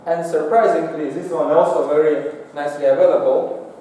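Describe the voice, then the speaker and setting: A man's voice: on the high side, steady tone, moderate pace, mellow. Man lecturing in a very echoey hall. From Singapore. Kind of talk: technical lecture with slides. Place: lecture room, indoors. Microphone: far from the mouth, room pickup. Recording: echoey hall, distant mic, faint noise.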